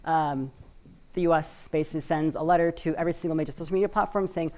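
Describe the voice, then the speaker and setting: A woman's voice: deep voice, steady tone, fast talker, a little mellow. A woman from Washington State giving a live conference talk, speaking conversationally with many fillers and hedging words. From Washington State, USA. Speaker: conference speaker for a community cooperative. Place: conference stage, in front of an audience.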